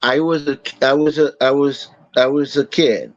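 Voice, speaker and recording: A man, a guest telling his story slowly in a casual interview, halting with many fillers and restarts. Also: mid-range voice, fairly even intonation, no room sound, dull audio. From Boston, Massachusetts. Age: 40s.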